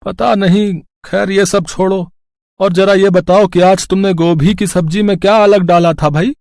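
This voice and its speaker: A man voicing a gentle, hopeful village husband and father in a cartoon moral story. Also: high-pitched, fairly even intonation, normal speed, dry recording, studio quality. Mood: tired, resigned.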